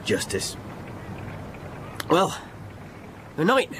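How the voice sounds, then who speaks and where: An actor playing a weary, proud, homeless countryman in a radio drama, halting and plain-spoken. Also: on the high side, huge pitch swings, exaggerated voice. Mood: resigned, grateful. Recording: noisy outdoor street ambience.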